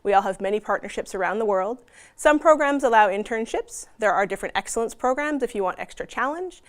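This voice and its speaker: A woman's voice: a little high, expressive, quick pace. Canadian woman explaining study options. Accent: Canadian English. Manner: friendly explanatory, frequent ums. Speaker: university outreach presenter. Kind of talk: informational presentation.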